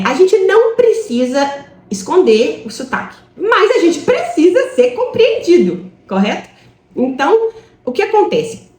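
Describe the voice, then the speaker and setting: A woman from Brazil teaches an online English class in a fairly high voice that swings widely in pitch. She explains step by step and keeps checking in with her listeners. The sound has a noticeable echo from the room. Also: a little mellow.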